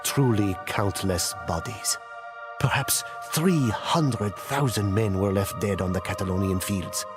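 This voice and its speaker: Male actor as grave war chronicler, deep-set pitch, solemn, theatrical, measured game narration, music clearly behind. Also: lightly acted. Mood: grave, dramatic. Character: grave male storyteller recounting ancient wars. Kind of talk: dramatic scripted storytelling narration.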